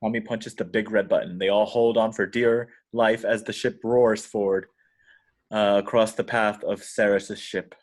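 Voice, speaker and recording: Guy reading out script action descriptions, lowish voice, narrating scenes. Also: steady tone, normal speed. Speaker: reader of stage directions.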